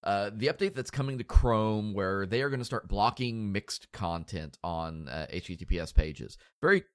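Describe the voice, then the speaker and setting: A man's voice: on the low side, moderate pace, dry recording, clean studio sound. A man hosting a casual podcast, stuffy and nasal from a cold, rambling and joking at his own expense. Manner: rambling, self-deprecating, joking. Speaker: podcast host.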